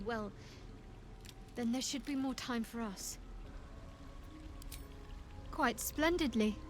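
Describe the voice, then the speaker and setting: A woman's voice: on the high side, a little hiss or hum, close to an everyday voice. A woman playing a guarded, devout young cleric in a fantasy video game, dry and teasing. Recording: clear music behind.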